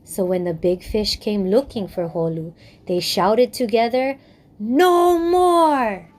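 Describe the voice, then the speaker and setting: Slow-paced woman storyteller from Hawaii. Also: medium pitch, darkish tone. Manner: encouraging, colloquial. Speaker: children's storytime host. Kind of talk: expressive storytelling and friendly talk to children.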